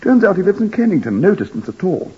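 A fussy hotel manager, high and husky with a lively, jumpy delivery. He sounds set back from the mic in a long, echoing reverb, heard through a dull, lo-fi vintage radio recording. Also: fast, exaggerated voice. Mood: brisk. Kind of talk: 1940s radio acting.

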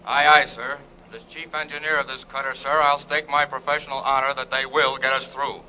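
A man's voice: medium pitch, flat delivery, brisk, sharp tone, a little hiss or hum, low-quality recording. A man playing an earnest chief engineer in a radio war drama, dutiful and formal. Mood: resolute. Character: earnest male chief engineer of a naval cutter. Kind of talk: old-time radio war drama acting.

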